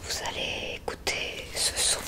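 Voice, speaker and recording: Female ASMR examiner: breathy whisper, unhurried delivery, gentle and reassuring, close-miked. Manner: soothing, gentle, reassuring instructions. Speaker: ASMR creator playing an examiner in a roleplay. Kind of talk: whispered ASMR medical exam roleplay. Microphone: close ASMR microphone.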